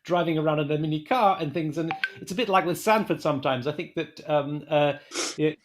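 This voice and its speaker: A man's voice: a little high, a little fast, a little hiss or hum. A man with a British accent making a hesitant, stumbling comment in an academic panel discussion. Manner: hesitant, stumbling over words, casual phrasing.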